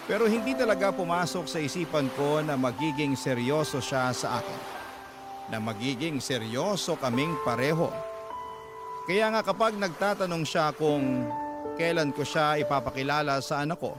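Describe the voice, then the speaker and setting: A man narrating a dramatic radio story as a letter read aloud, with music behind him. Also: fairly high pitch. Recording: background music under the voice.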